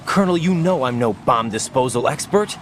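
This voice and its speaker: Actor playing an anxious young male operative, animated pitch. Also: brisk, slight background noise, close to an everyday voice. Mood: uneasy. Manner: urgent, incredulous questioning. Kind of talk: video game voice acting.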